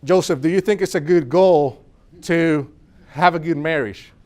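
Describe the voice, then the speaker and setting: A man's voice: a little high, a little slow, a little mellow. A young man from Puerto Rico with a Puerto Rican accent, giving an earnest motivational talk to a live audience and telling his story. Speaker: speaker at a business networking event.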